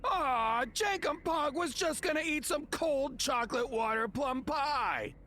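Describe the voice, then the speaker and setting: A man voicing a grumpy, gruff young alien engineer who loves food, grumbling in an animated game. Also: very high-pitched, a little slow, a little hiss or hum, exaggerated voice. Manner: refers to himself in third person, grumbling. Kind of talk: animated cartoon game acting.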